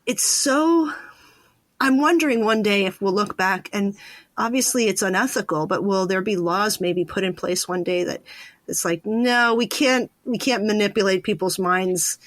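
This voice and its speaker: A woman's voice: medium pitch, normal speed, no room sound. A woman hosting a podcast, talking conversationally with her interview guest. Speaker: podcast host.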